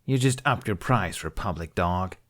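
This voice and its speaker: A man voicing a hostile female alien shop clerk in an audiobook, deadpan and contemptuous. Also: low voice, moderate pace. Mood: angry.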